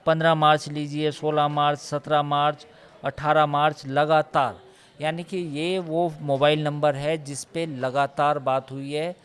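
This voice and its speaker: Emphatic man, somewhat high-pitched, analysing news. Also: flat delivery, a little slow, a bit bright. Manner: emphatic, repeats points and lists figures. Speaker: news reporter analysing a case. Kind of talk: live news discussion, unscripted analysis.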